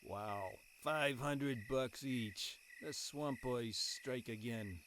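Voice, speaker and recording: Man playing a slow-talking tough young henchman. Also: mid-range voice, noisy background. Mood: impressed. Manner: blunt, slangy. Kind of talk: audio drama acting.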